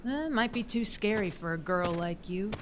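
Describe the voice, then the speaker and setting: A woman playing a gruff, sardonic woodswoman with a dry, dismissive manner in a family audio drama. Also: mid-range voice, animated, normal speed, a little hiss or hum, natural voice. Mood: ominous teasing.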